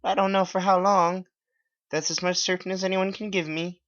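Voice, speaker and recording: Man putting on a high, forlorn old woman. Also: level tone, normal speed, fairly bright tone. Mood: forlorn, self-pitying. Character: bitter, lonely old witchy female teacher. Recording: dull lo-fi, dry.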